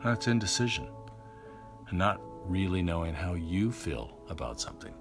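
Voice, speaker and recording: A man speaking as interviewer and narrator, reflective and probing, with measured, deliberate delivery. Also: low-pitched, sing-song, music in the background.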